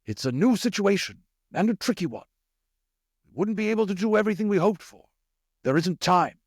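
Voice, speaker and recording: Man voicing high-pitched, earnest rat leader. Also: animated, breathy edge, no echo, studio quality. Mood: grave, resolute. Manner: measured, questioning, deliberate. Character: earnest, commanding older male rat leader, deliberating gravely. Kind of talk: audiobook character voice.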